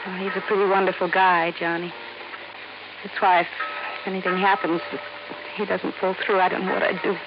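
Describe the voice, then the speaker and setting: A woman's voice: fairly low pitch, flat delivery, a little fast, long pauses, natural voice. Actress as troubled young American woman, noisy old radio. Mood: confessing, anxious. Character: earnest, troubled young woman confessing her past. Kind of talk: 1950s radio drama acting. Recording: dull, hissy old radio recording.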